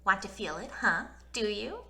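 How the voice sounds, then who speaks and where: A woman's voice: mid-range voice, big pitch swings, moderate pace, creaky, bright, forceful tone, a little hiss or hum, heavily acted. A woman voicing a vain, showy little schoolgirl in a storybook, preening and self-satisfied. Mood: smug.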